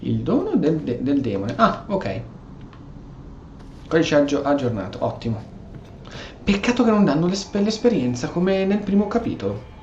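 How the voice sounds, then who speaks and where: A man's voice: a little high, very animated, normal speed, pauses often, a little mellow, roomy sound, dull audio, a little hiss or hum. A man giving casual let's play commentary as he plays a video game. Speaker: gamer narrating a playthrough.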